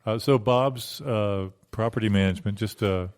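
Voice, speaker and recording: A man's voice: fairly low pitch, normal speed, darkish tone, lightly acted. A man improvising a hesitant small-town radio host who rambles through vague, polite announcements. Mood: vague, helpful. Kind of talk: improvised mock radio chat.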